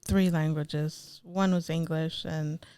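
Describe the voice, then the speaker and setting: A woman who grew up in Ethiopia co-hosts a podcast and chats in an easygoing way. Her voice is smooth and resonant, and the recording is close and dry. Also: low-pitched, fairly even intonation, slow pace, warm tone, no echo. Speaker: podcast co-host. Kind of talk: casual conversation.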